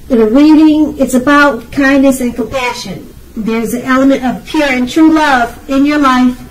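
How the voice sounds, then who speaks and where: A woman giving an unscripted tarot reading, speaking to the viewer in a reassuring, advising way with hesitations and repeated phrases. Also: sits high. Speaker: tarot card reader. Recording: voice distant from the mic.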